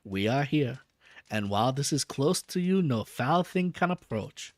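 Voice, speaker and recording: A man reading aloud and voicing a calm elderly professor with a put-on foreign accent, low and slow. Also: husky, no echo, clean studio sound, full character voice. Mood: soothing. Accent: Dutch-style put-on accent. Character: calm elderly male professor, grave and steady.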